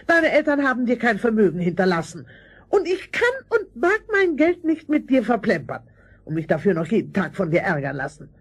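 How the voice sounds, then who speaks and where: Husky nagging stingy aunt. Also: female voice, a little high, big pitch swings, fairly quick, heavily acted. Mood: dismissive. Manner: querulous, scolding. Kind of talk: German fairy-tale audio drama acting.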